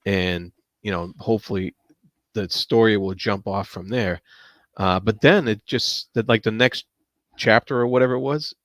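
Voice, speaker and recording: A man talking casually as a panelist in a book discussion, halting and repeating words as he searches for phrasing. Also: on the low side, a bit bright, no room sound, clean studio sound.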